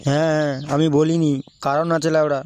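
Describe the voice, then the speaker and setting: A man's voice: fairly high pitch, flat delivery, fast talker, darkish tone, slight background noise, natural voice. A man voicing a crude, foul-mouthed young street tough in a comedy cartoon, brash and scolding. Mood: brash, scornful. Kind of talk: adult comedy cartoon voice acting.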